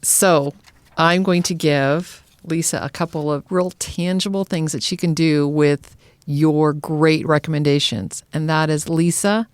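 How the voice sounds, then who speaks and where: A woman's voice: low-pitched, fairly even intonation, unhurried, dry recording. An American woman hosting a podcast in a conversational, organized and practical way, addressing listeners directly. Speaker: podcast host.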